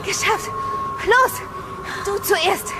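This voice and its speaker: Fugitive witness, horror audio drama, played by a woman: high-pitched, hard-edged, urgent, noisy ambience. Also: extremely slow, heavily acted. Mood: tense, urgent. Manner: terse, urgent. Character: tough, urgent female mafia witness on the run. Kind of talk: German audio drama acting. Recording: clearly noisy ambient effects behind voice.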